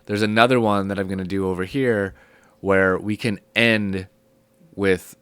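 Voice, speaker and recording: A man's voice: sits low, smooth, dry recording. Sick man teaching music theory. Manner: relaxed, thinking aloud with many ums. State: sick, voice low and hoarse. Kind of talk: casual spoken lesson.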